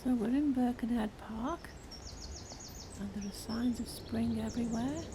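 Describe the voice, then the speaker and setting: An English woman chatting casually and gently as she narrates a nature vlog outdoors. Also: fairly high pitch, measured pace. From England. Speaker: vlogger. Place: outdoors in an urban park. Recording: outdoor ambient noise, birdsong. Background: birds and park ambience.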